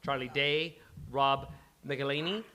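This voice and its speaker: Man, live host, forceful bright tone, unscripted, stop-and-start, self-mocking, mild swearing. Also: mid-range voice, fairly even intonation. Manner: halting, scattered, self-deprecating, swears casually. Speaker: host of a live script-reading event. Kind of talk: casual unscripted stage introduction. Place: live event venue before a small audience.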